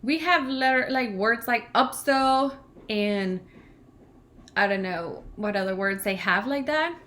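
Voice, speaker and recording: A woman in her thirties from Venezuela with a Venezuelan Spanish accent, whose first language is Spanish, chatting casually and rambling on a livestream as a language channel co-host. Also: a little high. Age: 30s. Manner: chatty, rambling, laughs often, grows animated when ranting.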